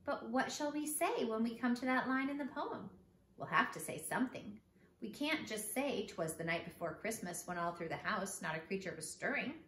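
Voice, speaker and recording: Lady reading as a worried proper storybook dad, earnest n deliberate, echoey room, bit of noise. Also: on the high side, expressive, fairly bright tone. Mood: puzzled. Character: fretful, proper storybook father.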